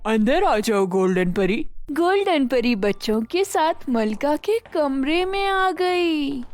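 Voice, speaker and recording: A woman tells a scripted children's bedtime story in a high voice. Her pace is slow, and faint music plays behind her narration. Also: dry recording.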